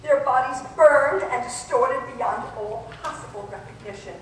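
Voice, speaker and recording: A grave female news announcer acted by a woman delivers formal bulletins in an old radio drama. The voice is fairly high, heard in a very echoey hall with faint noise. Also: moderate pace, recorded from a distance, natural voice. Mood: formal concern. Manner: formal, solemn.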